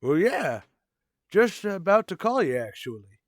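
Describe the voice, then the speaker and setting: A man's voice: high voice, sing-song, unhurried, no room sound, studio quality, voice pushed up, heavily acted. A man voicing a chipper, cocky police stolen-car clerk in a breezy, familiar manner for a radio drama. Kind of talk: 1940s radio drama acting.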